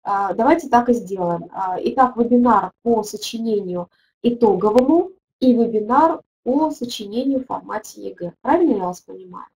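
A woman's voice: medium pitch, lively intonation, moderate pace, a little mellow, low-quality recording. A middle-aged woman, a teaching methodologist, leading a webinar and answering viewer questions, thinking aloud with frequent hesitations.

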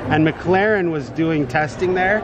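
A man's voice: on the high side, unhurried, muffled recording, very noisy. A man in his thirties, a car photographer, chatting casually on camera at an outdoor auction tent. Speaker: automotive photographer. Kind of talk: casual interview chat. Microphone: host's handheld camera. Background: crowd chatter and music.

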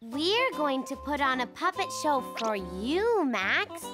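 A woman voicing a cheerful, bossy young girl bunny in a children's cartoon, explaining things patiently and clearly. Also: high-pitched, big pitch swings, slow, full character voice. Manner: articulate, patient, instructing. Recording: music behind the voice.

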